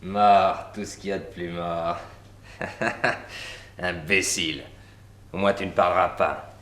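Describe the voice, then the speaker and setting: An actor playing a cold, gloating murderer in a vintage French radio drama. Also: male voice, sits low, steady tone, slow pace, fairly bright tone, reverberant. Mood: gloating, contemptuous. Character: cold, gloating murderer standing over his victim. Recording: clearly noisy vintage radio recording.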